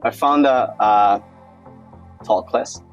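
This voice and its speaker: A man's voice: lowish voice, slow, faint music behind. A man in his twenties from Finland, giving a casual interview and thinking aloud in a hesitant way. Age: 20s. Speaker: interviewee, acroyoga practitioner.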